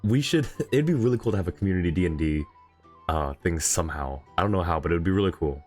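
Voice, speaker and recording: A man's voice: deep voice, animated, fast talker, a little mellow. A man doing casual, jokey live commentary as a game streamer, reacting aloud as he plays. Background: game music.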